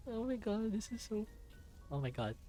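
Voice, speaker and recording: A man's voice: sits high, sing-song, takes it slowly, warm tone, soft background music, voice pushed up, exaggerated voice. A man voicing a young fox boy from a visual novel, desperate, frightened and defiant. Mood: anguished. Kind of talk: visual novel character acting.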